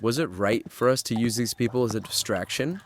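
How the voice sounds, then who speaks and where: A man's voice: medium pitch, brisk, slight background noise, natural voice. A man playing an anxious teenage boy lost in a nightmare world, in a horror audio drama. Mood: uneasy narration. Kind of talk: horror audio drama acting and first-person narration.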